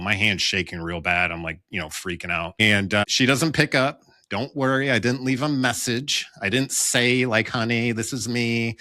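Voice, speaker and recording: A man's voice: on the low side, pushed and bright, dry recording, clean studio sound. An American man telling a personal story conversationally, in a candid and wry way. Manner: candid, wry, acts out dialogue in his account. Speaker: police officer telling his own story as podcast guest.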